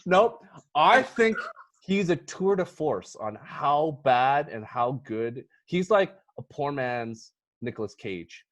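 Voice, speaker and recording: A man hosts a casual film podcast with a very lively, swinging delivery. His voice sits slightly high, and he talks emphatically, with a jokingly theatrical streak. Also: slow pace. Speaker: podcast host. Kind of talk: casual movie podcast chat.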